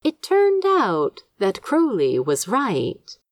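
Slow, expressive woman narrating fiction. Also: medium pitch, dry recording, studio quality. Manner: measured, expressive. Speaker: fan fiction audiobook narrator. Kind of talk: scripted story narration.

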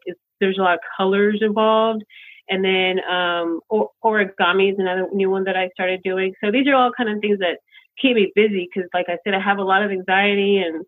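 A man hosting a casual podcast chat, rambling and halting, with many fillers and restarts. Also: high-pitched. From United States, childhood in Colombia. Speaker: podcast host. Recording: tinny phone-like line.

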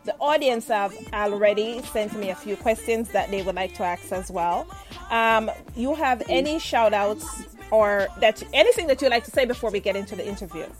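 A woman's voice: medium pitch, normal speed, soft background music. A woman hosting an interview show. She gushes with praise and laughs often. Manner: effusive, gushing with praise and frequent laughter. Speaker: radio show host. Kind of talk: lively online interview.

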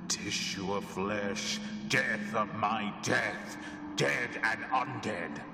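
A commanding old vampire count, played by a man, intones his spells in a very low, dragging voice. The chant is hushed at times and slips into whispers. The radio-play recording sounds echoey and reverberant, with a constant noise bed underneath. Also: very slow. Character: ancient vampire count, male, menacing and commanding. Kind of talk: radio drama acting.

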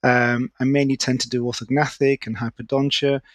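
A man, a guest orthodontist explaining his field in a podcast interview, articulate and quick, with restarts. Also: medium pitch, level tone, no room sound, studio quality. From Manchester, England. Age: 30s.

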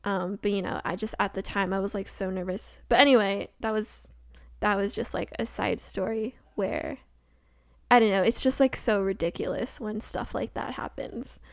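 A woman's voice: mid-range voice, steady tone, normal speed, vocal fry, no room sound. Young American woman, casual co-host, rambling filler-heavy delivery, dry close mic. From United States. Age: young adult. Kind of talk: casual chat.

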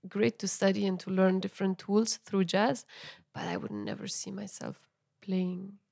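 Gravelly-voiced Italian woman musician. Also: medium pitch, flat delivery, normal speed. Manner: chatty, many fillers and soft laughs. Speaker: musician guest. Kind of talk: casual interview chat.

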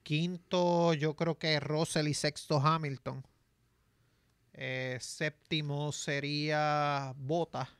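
Relaxed man with a clear voice. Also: a little high, takes it slowly, no room sound. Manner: relaxed, hesitant fillers. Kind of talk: casual chat.

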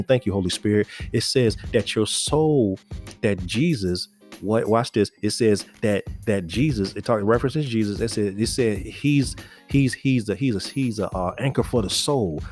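A man's voice: fairly low pitch, darkish tone. A man in his forties preaching casually to other men, earnest and exhorting, often restarting and repeating words. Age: 40s. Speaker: faith podcast host.

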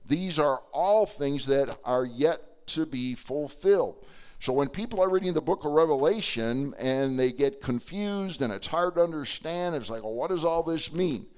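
A man preaching a sermon to his congregation, his voice slightly hoarse, talking in a conversational way with frequent fillers. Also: a little high, lively intonation, moderate pace, a little mellow. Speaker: pastor. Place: church sanctuary.

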